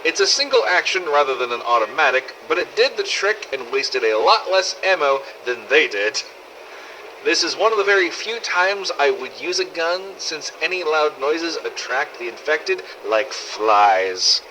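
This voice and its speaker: A man acts out a sardonic, world-weary runner surviving a zombie apocalypse, recording a game-style audio diary. The voice is slightly high and forceful, with animated swings in pitch and a wry, exasperated edge. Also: a little slow, a little hiss or hum, low-quality recording. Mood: matter-of-fact. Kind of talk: in-character audio diary narration, fan-made game audio logs.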